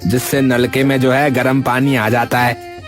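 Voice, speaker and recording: A man's voice: medium pitch, level tone, unhurried, over music, lightly acted. A man voicing a folksy, dramatic village neighbour who exclaims his way through comic cartoon dialogue. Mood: explaining.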